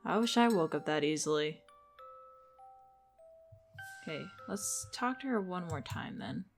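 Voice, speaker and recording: A woman's voice: lowish voice, lively intonation, a little slow. A woman giving casual gameplay commentary, thinking aloud as she goes. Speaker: video game streamer. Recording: game music behind the voice.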